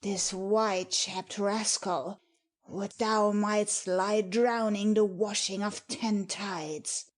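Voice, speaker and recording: A woman's voice: medium pitch, steady tone, slow, lightly acted. A woman playing a haughty, spiteful nobleman with biting contempt in an audiobook drama. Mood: contemptuous, angry.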